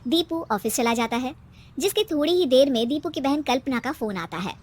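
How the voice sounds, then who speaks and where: A woman with a very high voice narrates a scripted animated tale. She delivers it as a storyteller would for a bedtime story. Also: brisk.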